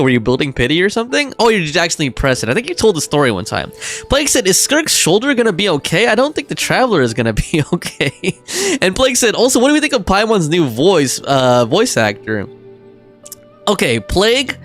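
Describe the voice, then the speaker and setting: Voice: medium pitch, a bit bright. A game livestreamer chatting casually and informally with viewers, reacting and thinking aloud. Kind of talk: casual chat, reading out game dialogue and viewer comments.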